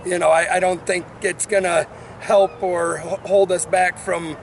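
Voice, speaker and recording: A man's voice: sits high, little pitch movement, a little slow, creaky. A man who coaches college soccer, giving a casual interview answer over some ambient noise.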